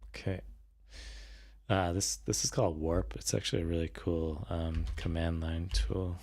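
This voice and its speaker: A man hosting a casual livestream, thinking aloud hesitantly, with a slightly raspy voice worn from a recent illness. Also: sits low, steady tone, normal speed, darkish tone. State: voice tired after recent illness. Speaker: streamer host.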